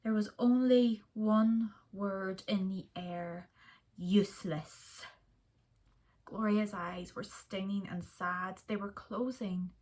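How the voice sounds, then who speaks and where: A woman reading a children's story aloud in an expressive, dramatic way with deliberate pauses. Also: mid-range voice.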